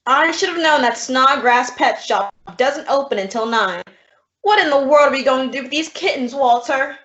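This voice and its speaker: Wry, practical lady teacher for radio comedy, woman actor, voice on the high side, muffled recording. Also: animated, moderate pace, fairly bright tone. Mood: exasperated. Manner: dry, wry. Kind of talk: old-time radio comedy acting with narration. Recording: dull lo-fi radio drama audio.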